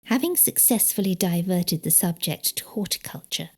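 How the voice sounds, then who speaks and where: A woman's voice: fairly low pitch, expressive, normal speed. A woman with a British accent reading scripted narration from a novel as an audiobook. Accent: British English. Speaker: author reading own audiobook.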